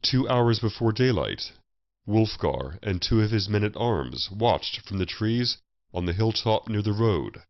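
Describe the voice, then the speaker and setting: A man's voice: fairly low pitch, big pitch swings, measured pace. A man reading a historical fantasy story as a scripted audiobook narration. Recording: muffled, roomy voice recording.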